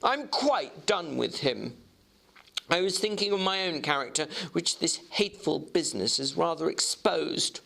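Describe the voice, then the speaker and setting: A man voicing an agitated, feverish gentleman doctor, fervent and faltering, in a dramatic book reading. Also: on the high side, animated, a little slow, creaky, voice pushed up, full character voice. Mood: anxious. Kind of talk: dramatic audiobook character reading.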